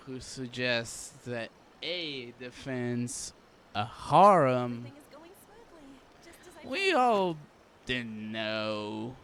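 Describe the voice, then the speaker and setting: A man giving casual playthrough commentary as host, halting and echoing the game's dialogue. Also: mid-range voice, a bit bright. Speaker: gameplay host. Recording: game music behind the voice. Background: video game music.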